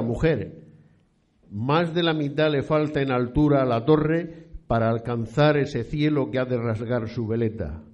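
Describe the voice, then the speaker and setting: A man's voice: mid-range voice, natural voice. Muffled, devout old Spanish priest played by a man. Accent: Spain. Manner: formal, archaic, florid speech. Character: pious old priest of eighteenth-century Spain, ailing, nostalgic. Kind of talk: period radio play acting.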